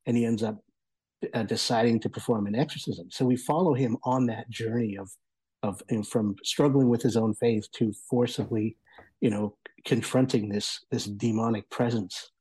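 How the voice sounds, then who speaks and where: A man with a mellow, soft-edged voice and a husky grain talks through a review as a guest. His delivery is conversational and thoughtful, often halting as he works toward his point. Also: medium pitch, fairly even intonation, low-quality recording. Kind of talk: casual spoken review.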